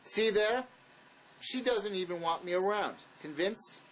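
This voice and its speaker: A man's voice: sits high, a little slow, a bit bright, rough recording. A man acting a bitter, blunt fugitive drifter in a dramatic script reading, sarcastic and cutting. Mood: dismissive.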